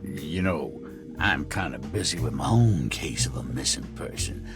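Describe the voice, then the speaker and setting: Shifty fellow, swooping pitch. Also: male voice, animated, unhurried. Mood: wheedling, shifty. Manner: hesitant, wheedling, informal. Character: shifty, folksy man hunting a runaway girl. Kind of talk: cinematic video game voice acting. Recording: music behind the voice.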